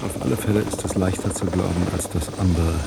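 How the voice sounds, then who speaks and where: An actor in a German radio drama playing a baffled, stubborn journalist who questions everything in halting phrases. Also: male voice, low-pitched, steady tone, gravelly, heavy background noise. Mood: suspicious.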